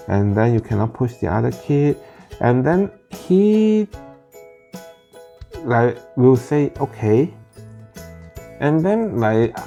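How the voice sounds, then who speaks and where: Slow, gravelly man telling a family story. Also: mid-range voice, dark tone, muffled recording. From Northern California Bay Area. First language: Cantonese. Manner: halting, frequent "like" and restarts, plain non-native phrasing. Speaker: parent vlogger. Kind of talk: casual personal storytelling. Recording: faint guitar music behind.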